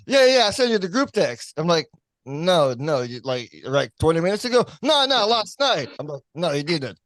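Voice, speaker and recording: High-voiced non-native English man. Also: big pitch swings, moderate pace, fairly bright tone, dry recording. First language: not English. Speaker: podcast co-host and producer. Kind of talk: casual podcast banter.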